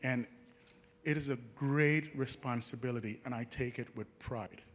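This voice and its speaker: A young Jamaican man, a nursing student, telling an audience about his path in an earnest, halting way, with many restarts. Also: mid-range voice. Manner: earnest, halting, frequent restarts, chuckles at his own stories. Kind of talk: personal story talk to an audience.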